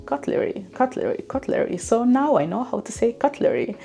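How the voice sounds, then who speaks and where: A young woman, chatting casually to camera in a vlog, relaxed and full of fillers. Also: fairly high pitch, fairly quick, vocal fry. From Italy. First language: Italian. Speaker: vlogger.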